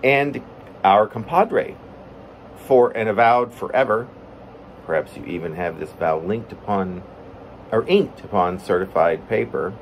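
A middle-aged man giving an informal reading of his own poem, halting and breaking into his own lines with asides. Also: fairly low pitch. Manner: halting, self-interrupting delivery. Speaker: poet reading own work. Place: plain room at home, indoors. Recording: steady room hum, air conditioning.

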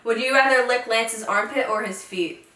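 A young woman hosting a casual vlog, reading out questions with a squeamish, giggly manner and a slightly hoarse voice. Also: on the high side, normal speed, pushed and bright. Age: young adult. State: slightly hoarse, losing her voice. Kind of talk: casual chat. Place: small bedroom, seated on a bed. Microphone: far camera mic. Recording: roomy, distant camera sound.

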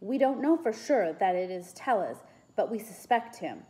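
A woman's voice: mid-range voice, big pitch swings, moderate pace, warm tone, natural voice, low-quality recording. A woman voicing young Roman schoolboys, anxious but defiant, in a storybook reading. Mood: earnest, bold.

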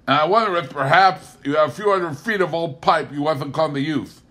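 A man's voice: on the high side, fairly quick, a bit bright, voice pushed up, natural voice. A man voicing a scrounging farmer neighbour, wheedling for favours in rustic speech in a storybook.

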